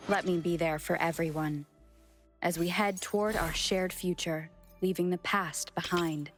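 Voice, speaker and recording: Woman as a lowish-voiced resolute warrior, loud music. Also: fairly even intonation, measured pace, lightly acted. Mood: calm, resolute. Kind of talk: video game battle lines.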